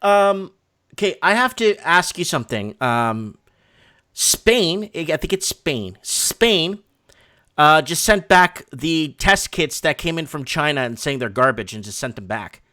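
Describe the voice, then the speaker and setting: A man with a slightly high, bright and forceful voice hosts a casual live talk show. He speaks bluntly and puts firm emphasis on his points. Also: expressive, unhurried. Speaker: host of a live call-in night show.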